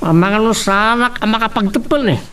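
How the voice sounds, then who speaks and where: A man's voice: sits high, fairly quick, sharp tone, clear, resonant tone, distant mic. A man playing a stubborn, defiant young lover in an Ilocano radio drama, with a Filipino Ilocano accent.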